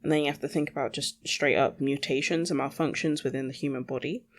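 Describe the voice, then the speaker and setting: A young adult podcast host with a creaky voice, rambling casually alone in a blunt, sweary, self-questioning way. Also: mid-range voice. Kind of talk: casual solo rambling monologue.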